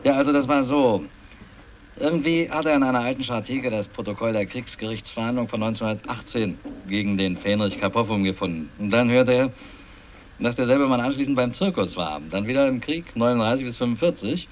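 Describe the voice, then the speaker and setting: A man's voice: mid-range voice, brisk, close to an everyday voice. A man playing an earnest film company employee in a radio play, recounting events in a rambling, hesitant way and repeating himself. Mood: earnest, recalling. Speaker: interviewee. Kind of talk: documentary-like interview in a radio play. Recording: clearly noisy mock field tape.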